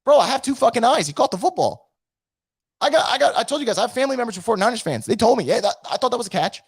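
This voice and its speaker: A man hosting a sports recap stream, hoarse and losing his voice from feeling sick, ranting and reading out chat comments. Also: very high-pitched, very fast, no room sound, clean studio sound. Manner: animated, frustrated, swearing. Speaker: sports podcast host.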